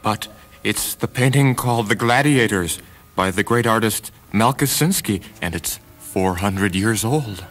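Man acting flustered minor ruler, pleading, stammering, pitched up, sci-fi storybook, faint music. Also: a little slow, fairly bright tone. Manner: anxious, stammering. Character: flustered, pleading planetary ruler offering tribute. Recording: voice over background music.

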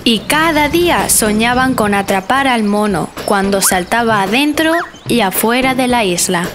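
A woman narrating a children's bedtime story in a gentle, clear storytelling manner. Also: a little high, animated, normal speed, noisy background. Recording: narration over music.

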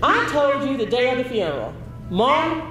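A woman's voice: sits high, big pitch swings, normal speed, a bit bright, soft background music. A woman acting on a theater stage as a devout, stern mother who quotes scripture in an emphatic, preachy way. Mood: stern, pleading. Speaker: stage actor. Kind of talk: live gospel stage play acting. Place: theater stage with kitchen set. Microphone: far camera. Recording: echoey theater, far-off camera mic.

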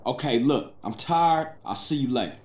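An actor playing a wary young gang member who talks in dry, sarcastic street slang. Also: male voice, mid-range voice, animated, normal speed, reverberant, a little hiss or hum, lightly acted. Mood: wry.

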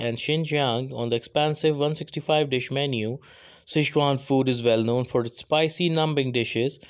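A man narrating a scripted countdown voice-over, announcing each entry by number in a steady list-reading delivery. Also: medium pitch.

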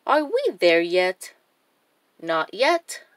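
A woman hosting a children's story time, reading a picture book aloud expressively with deliberate phrasing. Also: fairly low pitch, sing-song, no echo. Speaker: children's storybook reader and channel host.

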